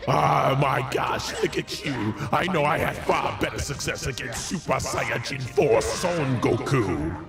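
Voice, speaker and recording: A man acts out a huge villainous ape from a fighting game, mocking and boastful. The voice is gravelly and swoops widely in theatrical taunts, in a roomy space over music. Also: medium pitch, animated, a little slow. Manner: theatrical taunting with cackles and shouts. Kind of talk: improvised in-character game commentary. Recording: music behind.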